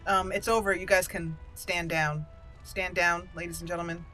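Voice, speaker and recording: A woman's voice: on the low side, steady tone, moderate pace, sharp tone, faint music behind. A woman hosting a chatty video game let's play with roleplay, in a playful manner. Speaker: YouTube game streamer, channel host.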